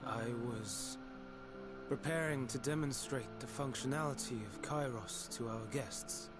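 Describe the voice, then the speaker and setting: A man's voice: medium pitch, a little slow, over loud music, natural voice. An actor playing an earnest male director in a game cutscene, formal and deferential. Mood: apologetic.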